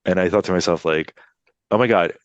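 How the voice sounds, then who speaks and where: American man in his fifties, very low voice, chatty and meandering, interview guest on a video call, dry close audio. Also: fairly quick, clean tone, no room sound, muffled recording. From United States. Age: 50s. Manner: rambling, filler-heavy, frequent "you know" and "right?". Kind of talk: casual storytelling interview. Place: Poland, remote interview.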